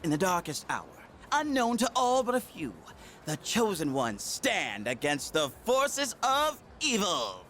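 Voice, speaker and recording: A man's voice: high-pitched, sing-song, unhurried, a bit bright, faint music behind, exaggerated voice. An actor voicing a cocky, goofy but earnest teenage boy in a video game, casual and sometimes awkward or theatrically boastful. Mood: mock-heroic. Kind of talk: English video game voice acting.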